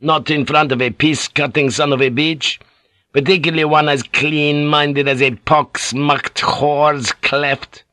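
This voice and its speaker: A man's voice: a little high, fairly even intonation, measured pace, sharp tone, no room sound, studio quality, pitched above the performer's own voice. A man voicing a coarse, foul-mouthed ship's pilot who barks orders and swears bluntly. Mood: crude, scornful. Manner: gruff, barking orders, swearing.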